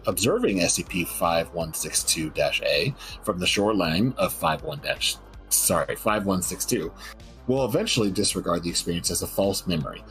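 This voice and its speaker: Low-voiced man, matter-of-fact. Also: a bit bright, poor audio. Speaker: guest writer reading own fiction. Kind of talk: casual chat and document reading.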